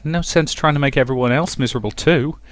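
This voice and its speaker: A man's voice: mid-range voice, fast talker, no room sound, voice pushed up, close to an everyday voice. A man voicing a frightened, guilt-stricken young woman in a visual novel, pleading and stammering in anguish. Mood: anxious, hurt. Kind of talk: dramatic reading of visual novel dialogue.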